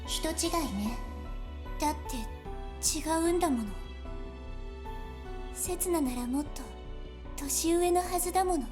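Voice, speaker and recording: Very high-pitched anime young woman. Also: a little fast, pauses often, over music. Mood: guarded, subdued. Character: young woman in anime game, tender but guarded and willful. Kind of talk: Japanese anime visual novel acting.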